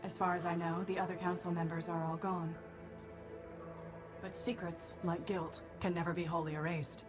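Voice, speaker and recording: A woman voicing a stern, vengeful female robot of law in a video game, speaking in a solemn, formal, deliberate way. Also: on the low side, level tone, lightly acted. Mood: solemn, instructive. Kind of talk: dramatic video game voice acting. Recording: loud music under the voice.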